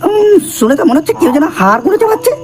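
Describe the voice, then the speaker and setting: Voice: high-pitched, big pitch swings, rapid-fire, very noisy. A performer voicing a grumbling, foul-mouthed, jumpy character who mutters to themselves in a horror comedy cartoon. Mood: alarmed, wary. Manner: muttering complaints to self. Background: music.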